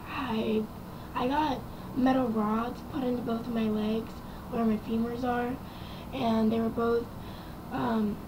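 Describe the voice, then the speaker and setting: A young woman with a raspy, quiet voice, telling her personal story to camera in a rambling way with many ums and likes. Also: a little high, noisy background. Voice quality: raspy and quiet from vocal cord damage. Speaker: vlogger.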